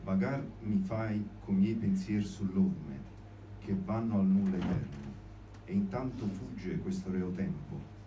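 Young man, poetry reading, slow measured delivery, echoey room, clear background noise. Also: low voice, dark tone, far from the mic, highs cut off. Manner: hesitant, many fillers when explaining. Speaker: poetry reader. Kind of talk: poetry reading at an open mic. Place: small cozy room with string lights, indoors. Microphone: handheld mic, filmed from far in the audience. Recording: echoey room recorded from afar, noisy.

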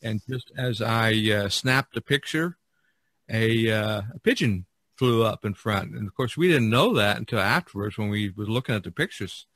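A middle-aged or older man, a painter and podcast host, talking casually about art in a halting, searching way. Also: lowish voice, animated, a bit bright, dry recording.

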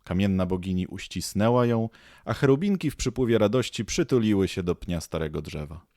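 A man's voice: fairly low pitch, lively intonation, a little fast, warm tone, dry recording, studio quality. A man reading scripted storybook narration as the narrator of a radio play.

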